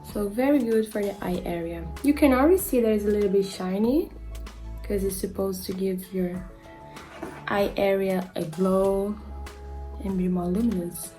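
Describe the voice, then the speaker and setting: A woman's voice: medium pitch, moderate pace, mellow, faint music behind. A woman in her twenties with a Brazilian accent, a model casually talking through a skincare routine, with frequent "like" fillers and stumbles over product names. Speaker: beauty vlogger and model.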